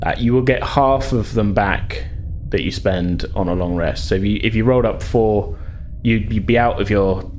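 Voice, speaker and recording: A man's voice: on the low side, normal speed, hardly any pauses, darkish tone, slight background noise. A man talking casually as game master and host of a tabletop role-playing podcast.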